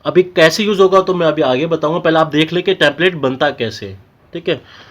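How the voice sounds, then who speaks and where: A man's voice: on the high side, fairly quick, a bit bright. A man with an Indian accent giving an informal technical tutorial, explaining step by step and often checking in with short tags. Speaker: technical instructor.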